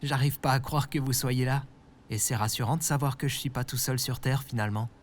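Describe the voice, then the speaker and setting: A man's voice: medium pitch, a little fast, a little hiss or hum, natural voice. Anxious, naive everyman played by a man. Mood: hopeful. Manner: earnest, hesitant, expressive. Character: anxious, naive lone human man, easily panicked. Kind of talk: scripted French audio fiction acting.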